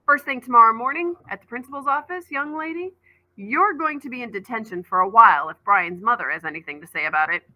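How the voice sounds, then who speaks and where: A woman voicing a concerned, gentle but exasperated father in a children's storybook, scolding mildly with wry fondness. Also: fairly high pitch, fast, pitched above the performer's own voice, rough recording. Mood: firm, understanding.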